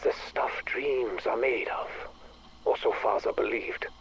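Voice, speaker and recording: An actor playing an obsessed, brooding scientist with a husky voice, speaking formally and tersely in dramatic video game audio logs. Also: male voice, mid-range voice, unhurried, natural voice, low-quality recording. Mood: brooding, intent.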